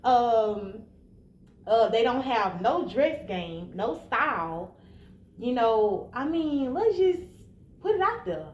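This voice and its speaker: Echoey, higher-voiced American woman talking candidly. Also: big pitch swings, takes it slowly. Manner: blunt, informal, emphatic, addressing listener directly. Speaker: vlogger giving relationship advice. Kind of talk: candid casual advice monologue. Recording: echoey room, faint background noise.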